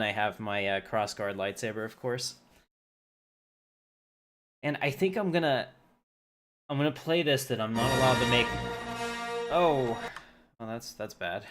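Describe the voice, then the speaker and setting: A man hosting a casual gameplay livestream, thinking aloud informally as he plays and chats to viewers. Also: mid-range voice. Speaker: livestreamer, game player and channel host. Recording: faint noise, keyboard typing.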